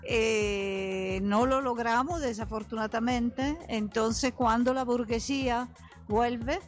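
An older woman with an Italian accent gives a radio interview as a guest. Her voice sits fairly high and she speaks very slowly, searching for words in a language that is not her first. Music plays softly under her voice. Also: fairly even intonation. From Italy. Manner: halting non-native phrasing, searching for words. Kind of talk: political conversation in a radio interview.

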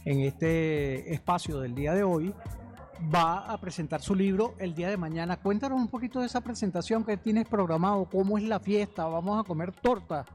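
A man's voice: sits high, normal speed, a little mellow. A man with a Venezuelan accent hosting a radio interview in an informal, chatty way, often interjecting. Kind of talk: conversational radio interview from a book fair. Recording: music under the voice.

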